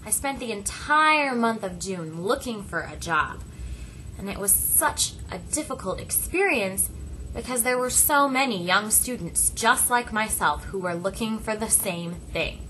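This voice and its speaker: A woman voicing a first-person story as an interpreter, speaking deliberately in short phrases with dramatic pauses. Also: medium pitch, animated, sharp tone, a little hiss or hum, rough recording.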